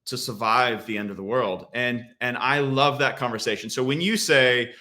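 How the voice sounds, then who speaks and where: Bright-voiced male film director. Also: mid-range voice, normal speed. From Australia. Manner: earnest, reflective, with repeated restarts. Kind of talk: interview answers.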